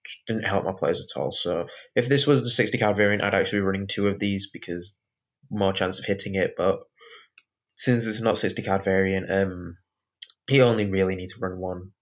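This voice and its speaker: A man's voice: low-pitched, normal speed, fairly bright tone. A young man with a sore throat, sounding groggy, rambling through a casual deck walkthrough with lots of ums and uhs. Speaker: card game deck reviewer. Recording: dull lo-fi recording.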